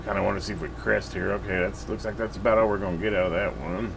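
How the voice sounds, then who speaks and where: A man's voice: lowish voice, fairly even intonation, fast, vocal fry, noisy background. A man casually reviewing a radio at his workbench, rambling with lots of fillers and restarts.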